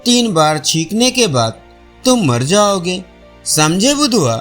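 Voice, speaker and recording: Man acting a slow, confident village priest. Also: sits high, very animated, fairly bright tone, full character voice. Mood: certain. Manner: confident, pronouncing. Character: learned, self-assured male village priest and astrologer. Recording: faint music behind voice.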